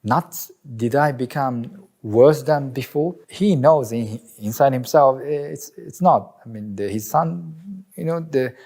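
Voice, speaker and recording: A man from Japan with a Japanese accent, an imam speaking earnestly and reflectively in a testimony interview, sometimes pausing to search for words. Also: mid-range voice, gravelly, darkish tone.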